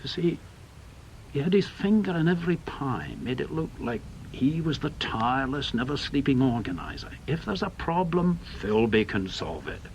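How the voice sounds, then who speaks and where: A man's voice: mid-range voice, big pitch swings, moderate pace, slight background noise, low-quality recording. An actor playing a bitter, blunt veteran British intelligence man in a radio drama, with an emphatic, scornful manner.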